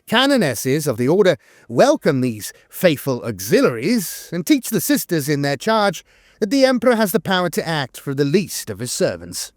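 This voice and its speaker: A man reading scripted lore narration in a measured, formal way, with the occasional hesitation. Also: on the high side, no echo, studio quality. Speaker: channel narrator.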